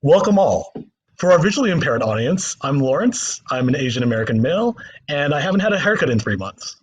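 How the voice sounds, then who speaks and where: A man's voice: fairly high pitch, big pitch swings, a little fast, breathy edge, lo-fi sound. A man moderating a panel as a journalist, delivering prepared introductions and questions.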